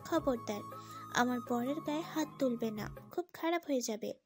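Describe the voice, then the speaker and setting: A woman voices a clingy young wife in a romantic audio story. She speaks in a high voice, possessive and protective, with faint music behind her. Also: normal speed, close to an everyday voice. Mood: threatening.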